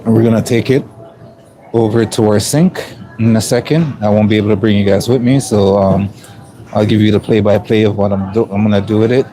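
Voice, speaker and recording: Raspy low-voiced man narrating kitchen work. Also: fairly quick. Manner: relaxed play-by-play, frequent throat clearing and ums. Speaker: chef livestreaming from work. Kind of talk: casual live-stream narration.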